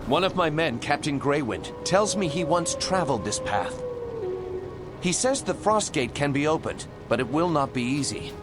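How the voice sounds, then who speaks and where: A noble human prince from a fantasy game. His fairly high voice moves expressively, earnest and commanding, in formal heroic speech over music. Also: very animated, moderate pace. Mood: earnest, resolute. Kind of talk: video game cutscene acting.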